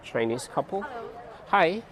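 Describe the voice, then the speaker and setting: A young man from Cameroon chatting casually to his vlog viewers outdoors on a city street, laughing often and pausing with fillers. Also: on the high side, lively intonation, crowd noise. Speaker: vlogger. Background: faint street traffic.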